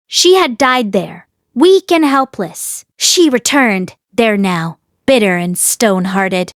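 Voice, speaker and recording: A woman narrating a novel as an audiobook reader, in an expressive, emotive storytelling manner. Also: a little high, dry recording, studio quality. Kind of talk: dramatic narrated novel reading.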